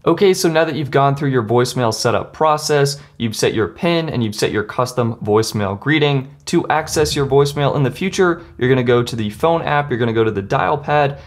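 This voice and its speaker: An American man presenting a tech tutorial, talking through instructions step by step. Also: medium pitch.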